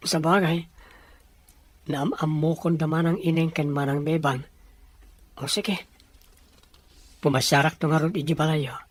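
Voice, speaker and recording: A man's voice: a little high, fairly even intonation, unhurried, pauses often. A man playing a humble, bashful young suitor from a poor family in an audio drama, earnest and respectful. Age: young adult. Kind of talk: scripted audio drama dialogue.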